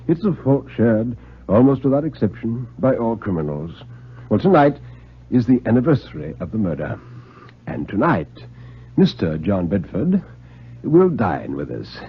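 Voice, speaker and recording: A man voicing a genial, scheming old retired British police knight, theatrical and deliberate, in a radio drama. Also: lowish voice, sing-song, slightly rough, exaggerated voice. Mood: scheming, confident. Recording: muffled 1950s radio recording.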